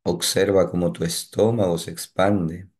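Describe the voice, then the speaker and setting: A man leading a guided meditation, giving calm instructions in short phrases with long pauses between them. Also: sits low, slightly rough.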